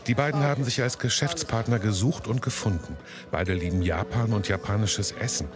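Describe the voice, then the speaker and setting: A man narrating a TV food report in a smooth, descriptive voice-over. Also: fairly low pitch, fairly quick. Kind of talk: scripted TV narration. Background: music.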